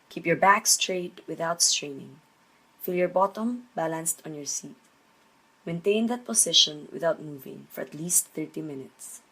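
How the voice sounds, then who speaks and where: A woman leading a guided meditation, giving measured instructions with pauses between phrases. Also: fairly low pitch, pushed and bright, breathy edge, poor audio. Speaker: meditation teacher.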